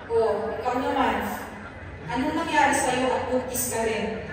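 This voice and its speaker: A woman's voice: sits high, unhurried. A woman playing a stern, exasperated government welfare officer on stage, scolding bluntly. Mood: exasperated questioning. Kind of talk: amateur stage play acting. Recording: echoey hall from far off, crowd noise.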